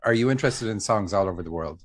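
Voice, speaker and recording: A man's voice: lowish voice, expressive, fast. A man hosting a dream interpretation podcast, chatting casually and laughing easily. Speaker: podcast host.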